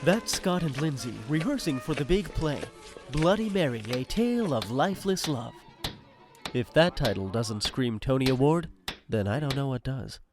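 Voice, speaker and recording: A man playing a chatty insider who narrates a high school comedy, confiding directly in the listener. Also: animated, moderate pace, lightly acted. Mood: wry, animated. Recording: music behind the voice.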